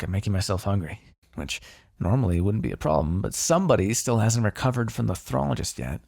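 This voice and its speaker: A man's voice: lowish voice, gravelly, a little mellow, husky, no echo, clean studio sound, full character voice. A man playing a smug, teasing, grudgingly fond vampire in an intimate ASMR roleplay, talking in a sardonic, conversational way. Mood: smug, hungry.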